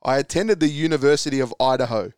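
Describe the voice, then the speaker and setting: A man's voice: medium pitch, quick pace, smooth, no echo, studio quality. An Australian man hosting a sports quiz podcast, upbeat as he reads clues and calls answers. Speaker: quiz host.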